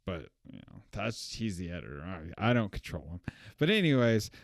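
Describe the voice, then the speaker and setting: A man's voice: on the low side, very animated, fairly quick, vocal fry, no echo, clean studio sound. Rambling young man reviewing a film. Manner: rambling, self-correcting, laughs at own jokes. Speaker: podcast co-host. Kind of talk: casual film review chat.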